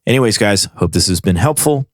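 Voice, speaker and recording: A man presenting a software tutorial in a casual, informal way, explaining things step by step. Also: on the low side, animated, fairly quick, dry recording, studio quality.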